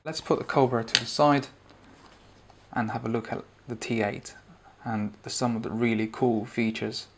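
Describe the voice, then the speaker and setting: A man's voice: mid-range voice, fairly even intonation, normal speed, creaky, husky. A man giving a conversational, explanatory spoken product review and demonstration.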